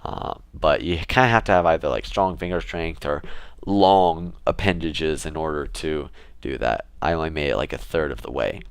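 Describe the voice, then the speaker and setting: A young man talking casually to his camera in a relaxed, rambling way, with fillers and restarts. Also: rumbling low, normal speed, clean tone, dry recording, clean studio sound. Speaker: vlogger.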